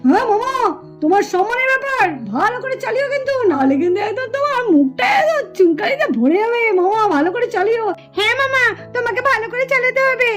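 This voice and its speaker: A woman voicing eager, playful small children in a puppet cartoon, pleading and repeating themselves excitedly. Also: extremely high pitch, quick pace, soft background music. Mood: urging. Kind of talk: sing-song children's puppet cartoon acting.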